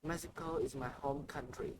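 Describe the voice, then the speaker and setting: Maria, an upbeat woman guest in the tech world, read out by a man, earnest and a little raised in delivery. The voice sits at an ordinary middle pitch. A noisy ambient hiss runs underneath. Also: fairly even intonation, moderate pace, lightly acted.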